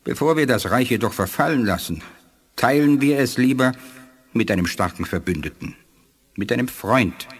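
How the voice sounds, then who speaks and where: An actor playing a dignified, shrewd alien scientist in a 1980s German sci-fi radio play, speaking in a measured, formal, didactic way. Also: male voice, medium pitch, animated, slightly rough. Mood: solemn, persuasive.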